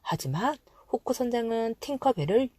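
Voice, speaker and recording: A woman narrating a children's storybook as an expressive storyteller. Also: on the high side, normal speed, no room sound.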